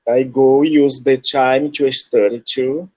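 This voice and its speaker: A man with a Brazilian Portuguese accent who speaks Portuguese natively, halting and searching for words as a student in an online English lesson over a video call. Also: mid-range voice, fairly even intonation, darkish tone.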